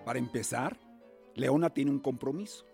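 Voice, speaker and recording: An actor playing a stern, cautious middle-aged uncle in a radio drama, speaking in a measured, formal, paternal way. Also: male voice, medium pitch, gravelly, darkish tone, soft background music, lightly acted. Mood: firm, skeptical. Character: stern, cautious guardian uncle of colonial Mexico.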